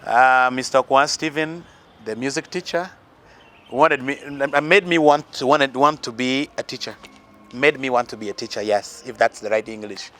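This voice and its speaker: A man's voice: medium pitch, normal speed, a bit bright. A musician from northern Uganda with a Ugandan accent, earnestly giving life advice and talking about his influences in an interview, with many fillers and restarts. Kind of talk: interview answers.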